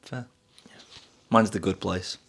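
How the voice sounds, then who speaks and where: A man from the UK hosting a podcast in casual chat, relaxed and quick to laugh. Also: on the low side, creaky, breathy edge. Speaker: podcast host.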